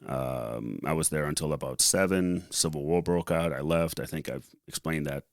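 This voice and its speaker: Super deep voiced guy chatting on a podcast, stops and starts a lot, real dry close sound. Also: moderate pace, dry recording. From born in Liberia, later raised in the US, of Lebanese family. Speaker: podcast co-host and article writer. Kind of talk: casual conversational storytelling.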